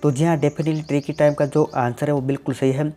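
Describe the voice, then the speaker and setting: A man, answers viewer questions on his tech channel in a plain, explanatory way, leaning on "definitely" and "simply". His voice is warm and mellow. Faint music plays underneath. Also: a little high, little pitch movement, fast. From Gujarat, India. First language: Gujarati.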